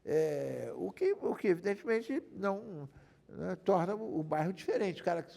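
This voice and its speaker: Older Carioca man, gravelly and husky, lively pitch swings, rambling storyteller, reverberant hall sound. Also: moderate pace. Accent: Carioca Brazilian Portuguese. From Rio de Janeiro, Brazil. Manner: rambling, repeats himself, frequent "né?". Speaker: guest lawyer. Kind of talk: interview storytelling.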